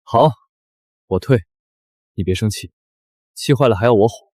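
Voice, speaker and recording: A man playing an attentive, gently teasing young minder in a short drama. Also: lowish voice, moderate pace, husky, no echo, clean studio sound. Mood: placating.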